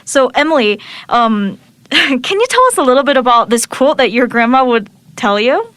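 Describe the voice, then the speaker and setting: A woman's voice: high-pitched, lively intonation, moderate pace, fairly bright tone, no room sound. A woman hosting an interview podcast, politely introducing her guest from notes and asking questions. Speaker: podcast host.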